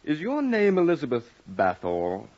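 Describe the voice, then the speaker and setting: An actor playing a brisk, officious police officer in a 1950s radio drama, questioning in a formal, clipped way. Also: male voice, on the high side, wildly swooping pitch. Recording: muffled old radio recording, faint noise, clicks.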